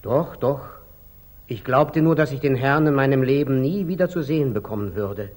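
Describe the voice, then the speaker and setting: Meek, husky-voiced lay brother, played by a man. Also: medium pitch, moderate pace, darkish tone, lightly acted. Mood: sly, deferential. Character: humble, artful, meek lay brother, wary of meddling. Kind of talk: 1940s German radio drama acting. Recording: old muffled 1940s radio recording.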